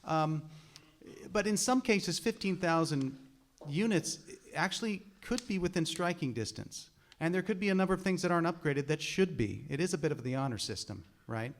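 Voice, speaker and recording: A man's voice: on the high side, animated, normal speed. Earnest man explaining on a panel. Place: studio room with an audience.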